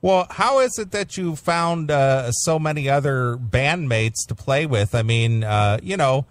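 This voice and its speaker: An American man hosting a metal radio show, talking in a loose, meandering way with lots of hesitations. His voice sits at a middling pitch and sounds dry and close to the microphone, with no room around it. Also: unhurried, dry recording. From United States. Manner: rambling, many uh fillers. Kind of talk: phone interview.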